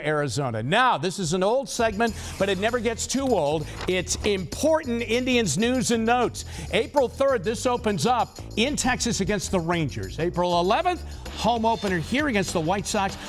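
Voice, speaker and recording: An American man anchoring a TV sports report, delivering news and commentary in punchy, emphatic short phrases over music. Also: high-pitched. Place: TV studio.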